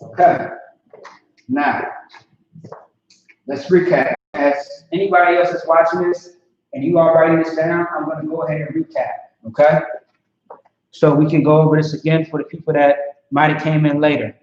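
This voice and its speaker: A man's voice: fairly high pitch, level tone, moderate pace, long pauses, darkish tone, slight background noise. A man giving an informal language lesson, talking casually and often checking that listeners follow. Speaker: language teacher. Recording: distant lo-fi mic in a very echoey room.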